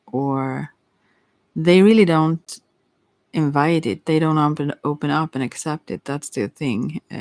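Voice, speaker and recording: A non-native English speaker giving a casual, unscripted tarot reading in a hesitant, halting way, searching for words. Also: mid-range voice, creaky, a little mellow, no echo. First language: not English. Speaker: tarot reader.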